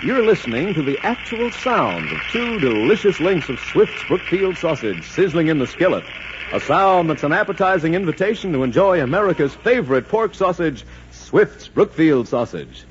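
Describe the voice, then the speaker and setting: A man's voice: a little high, big pitch swings, normal speed. A man announcing and selling in 1940s radio style, with an emphatic, salesmanlike delivery. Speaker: radio announcer and sponsor pitchman. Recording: muffled, noisy 1940s radio recording.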